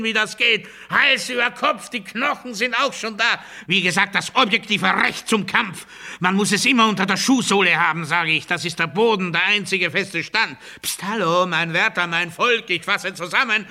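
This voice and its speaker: Radio play casting: imprisoned demagogue, delusional and commanding, high sharp voice, declamatory delivery moving from mutter to oratory. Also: male voice, exaggerated voice. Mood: restless, confused. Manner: declamatory, rhetorical, swinging between hushed muttering and loud oratory. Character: ranting male demagogue in a cell, delusional and commanding. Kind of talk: German radio play monologue.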